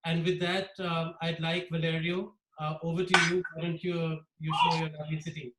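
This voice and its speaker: A man, slightly high-voiced for a man, presents over a video call from a noticeably echoey room. He explains patiently, often pausing with uh and um. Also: level tone, very noisy. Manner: many fillers, explanatory. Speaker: webinar host presenting a tour company. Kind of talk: live online presentation.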